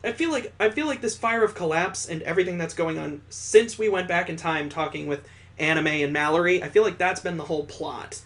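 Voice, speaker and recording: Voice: medium pitch, a little fast, a bit bright. Sarcastic, exasperated video game commentator. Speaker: let's-play host reading a visual novel aloud. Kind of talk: game commentary with dramatic reading.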